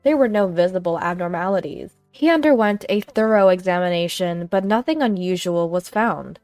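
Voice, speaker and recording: A woman's voice: lowish voice, normal speed, darkish tone, no room sound. Female story narrator, scripted first-person tale, dry sound over light music.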